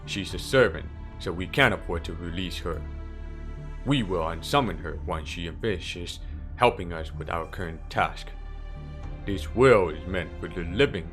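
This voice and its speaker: A man playing a calm, sinister priest villain in a fan dub, speaking in a measured, formal, smoothly condescending way. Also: low voice. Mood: cool, matter-of-fact. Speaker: amateur voice impressionist. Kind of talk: dramatic fan dub character acting. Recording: background music under the voice.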